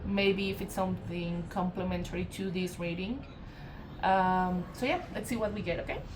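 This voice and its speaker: A woman from Colombia with a Colombian Spanish accent, giving a casual channeled tarot reading in a meandering way, full of fillers, self-corrections and soft laughs. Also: lowish voice, normal speed, music in the background, low-quality recording. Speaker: tarot reader.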